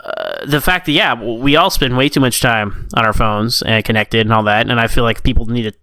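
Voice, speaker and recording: A man's voice: mid-range voice, brisk, bright, forceful tone, clean tone, no room sound. A man co-hosting a podcast in casual chat, informal and given to acting out little quotes as he reviews stories. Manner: informal, peppers talk with "like" and mimicked quotes. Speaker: podcast co-host.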